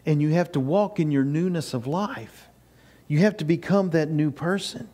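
An older man delivers a sermon in a small church, his voice sitting high for a man. He presses his points with rising, repeated phrases. The sound comes from a camera at the back of the sanctuary. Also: darkish tone. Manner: preaching with rising emphatic bursts and repeated phrases. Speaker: pastor. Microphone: far camera, no mic seen.

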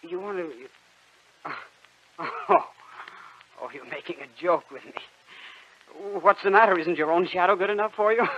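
A man's voice: high voice, measured pace, pauses often, slight background noise. An actor playing a naive, lovesick young man in a radio drama, earnest, hesitant and stammering. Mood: bewildered. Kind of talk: old-time radio drama acting. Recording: dull vintage radio recording with music.

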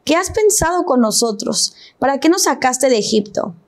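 A woman's voice: high-pitched, big pitch swings, normal speed. A young woman with a Mexican accent, a panel guest reading scripture and then talking it through. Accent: Mexican Spanish. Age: young adult. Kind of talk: Bible reading and discussion. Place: TV studio set. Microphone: lapel.

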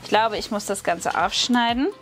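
A woman with a German accent hosting a craft channel, chatting excitedly with many fillers while she unboxes a haul at a table. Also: medium pitch, moderate pace, faint music behind. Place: at a wooden table, hands on camera.

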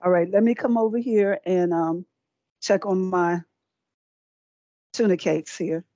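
A woman's voice: lowish voice, fairly even intonation, a little slow, dark tone, no room sound. A middle-aged woman giving a live cooking demonstration, chatty and encouraging, often repeating words while she works at the stove. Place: small indoor set with folding screens. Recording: dry, dull lo-fi sound.

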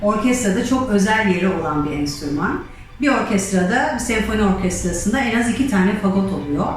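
A woman's voice: mid-range voice, animated, a little fast. Woman presenting in a very echoey space. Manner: explanatory, addressing the audience directly. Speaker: musician and writer hosting a musical storytelling event. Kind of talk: educational presentation with storytelling. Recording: very echoey, distant microphone, faint music.

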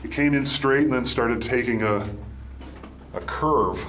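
A man's voice: mid-range voice, unhurried, a bit bright, a little hiss or hum. A man from Canada hosting an exploration vlog, giving casual, laid-back, unscripted commentary as he walks with the camera. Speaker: host of a mine exploration video series. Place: inside a small mine tunnel and outdoors in woods.

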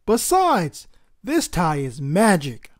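A woman's voice: fairly low pitch, wildly swooping pitch, slow pace, heavily acted. Woman putting on a proud, emphatic storybook dad. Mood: reassuring. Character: proud, encouraging adult father in a children's storybook.

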